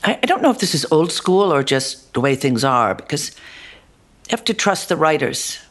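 A woman actor being interviewed about her role, talking animatedly, jumping between loud asides and soft murmurs, often ending with tag questions. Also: deep voice, very animated, moderate pace. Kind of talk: conversational interview.

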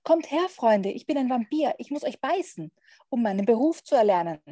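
An eager little vampire child from a storybook, played by a woman. The voice is lifted and childlike, with a mellow, slightly husky edge, earnest and exclaiming. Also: a little high, big pitch swings, normal speed, no echo, studio quality, voice pushed up.

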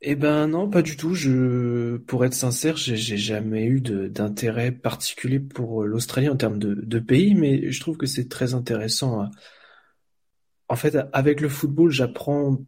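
A man's voice: medium pitch, measured pace, darkish tone, slightly rough. A man from France, a volunteer football blogger, answering interview questions in a hesitant way, with many fillers and restarts.

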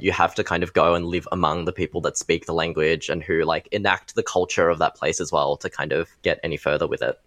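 A man co-hosting a casual chat, relaxed and laid-back, often saying "like". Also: fairly low pitch, dry recording, clean studio sound. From Australia.

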